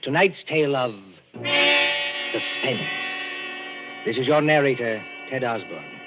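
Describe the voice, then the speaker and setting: A man's voice: medium pitch, animated, unhurried. A man announcing the closing of a 1940s radio drama, formal and declamatory. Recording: muffled 1940s radio broadcast with music.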